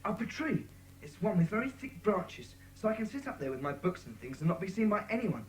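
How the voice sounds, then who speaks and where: A woman's voice: mid-range voice, sing-song, a little fast, a little hiss or hum, natural voice, poor audio. A woman playing an easygoing, practical schoolboy in a dramatised children's audiobook. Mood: keen, proud.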